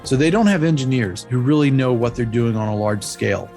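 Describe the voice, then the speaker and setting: A man's voice: mid-range voice, fairly quick, a little mellow, faint music behind. A man speaking as a history expert, explaining events in an informal, plain-spoken storytelling way. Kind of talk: conversational explanation.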